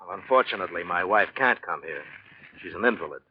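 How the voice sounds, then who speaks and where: Uneasy painter, muffled old radio. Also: male voice, sits low, expressive, quick pace. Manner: hesitant, earnest. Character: uneasy, hesitant married professional painter. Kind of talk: 1940s radio acting. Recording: muffled, noisy 1940s radio recording.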